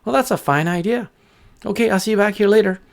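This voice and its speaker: A man voicing a small, friendly, eager male bird in a children's story, chatty and excitable with halting speech. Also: high voice, gravelly, voice pushed up. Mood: agreeable. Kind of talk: improvised children's story character voice.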